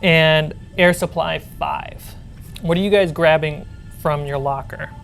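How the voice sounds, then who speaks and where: A woman speaking as game master, narrating a tabletop role-playing game in a halting, thinking-aloud way. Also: sits low, vocal fry. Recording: background music under the voice.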